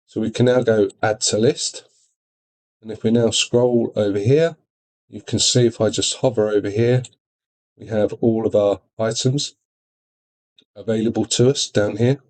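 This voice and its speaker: Male product demonstrator, lowish voice, informal step-by-step tutorial delivery. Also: a little mellow. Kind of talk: software tutorial walkthrough.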